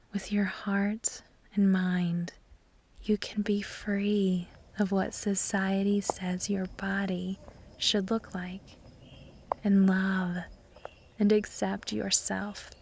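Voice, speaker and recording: A woman leading a scripted guided meditation in a soft, breathy, whispery voice, soothing and measured as she gives instructions. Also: medium pitch.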